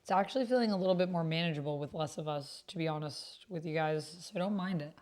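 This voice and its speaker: A woman streamer chatting casually and reading typed roleplay aloud, sometimes halting as she types along. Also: low-pitched, a little mellow. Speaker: streamer playing an online roleplaying game.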